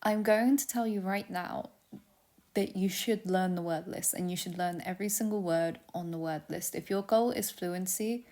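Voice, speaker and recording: A young woman casually teaching a vocabulary lesson, chatty and laughing often, dropping to a soft near-whisper when she gives word meanings. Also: fairly low pitch, moderate pace. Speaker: language teacher.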